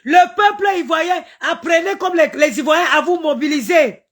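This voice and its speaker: A woman's voice: very high-pitched, moderate pace. Indignant woman ranting live. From Cameroon. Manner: indignant, ranting, stammering repeats, chanted invocations. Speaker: live-stream commentator. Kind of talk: impassioned live-stream rant.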